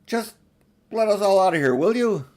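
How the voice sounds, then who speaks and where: A man's voice: high-pitched, lively intonation, normal speed, darkish tone, pitched above the performer's own voice. A man voicing a great, kingly lion who leads with firm authority in a storybook. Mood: loud, commanding. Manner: authoritative, calling out firmly. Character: great male lion, commanding, kingly leader.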